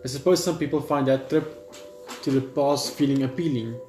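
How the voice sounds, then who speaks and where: A man with a mid-range voice reads game text aloud in a halting way, repeating words and dropping casual asides. The room is echoey and game music plays faintly behind him. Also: mid-range voice, darkish tone. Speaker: gaming video host.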